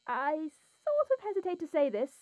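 A gentle, hesitant guide. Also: female voice, high-pitched, big pitch swings, moderate pace, no room sound, narrow, tinny audio. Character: calm, hesitant woman giving instructions.

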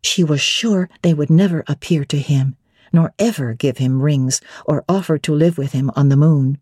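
Husky, mellow woman reading classic literature. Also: low voice, no echo, clean studio sound. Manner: measured, expressive, theatrical. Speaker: audiobook narrator. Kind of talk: dramatic scripted literary narration.

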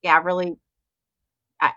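A woman's voice: lowish voice, level tone, slow pace, sharp tone, dry recording, dull audio. A woman co-hosting a podcast, chatting casually and rambling with restarts. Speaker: podcast co-host. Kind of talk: casual chat.

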